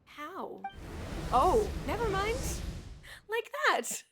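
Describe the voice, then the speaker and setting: A woman's voice: very high voice, sing-song, extremely slow. A woman streaming a game with casual live commentary, sarcastically answering the characters back. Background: game music.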